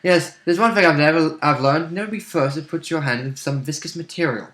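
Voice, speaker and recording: A man's bright, slightly high voice sounds in an echoing, roomy recording. He is a tabletop roleplay player, chatting casually and chipping in with wry banter. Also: a little fast. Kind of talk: casual chat.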